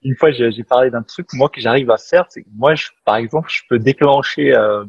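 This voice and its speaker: A male podcast host with a husky voice, asking questions in a relaxed, halting way full of fillers. The sound is dry and a little dull, like a lo-fi home recording. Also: medium pitch, no echo. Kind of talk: casual interview questions.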